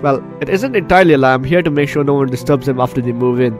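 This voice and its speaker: A man's voice: medium pitch, very fast. Male gamer narrating over background music. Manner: expressive, interjecting reactions. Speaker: gaming video host. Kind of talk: gameplay commentary and reading game text aloud.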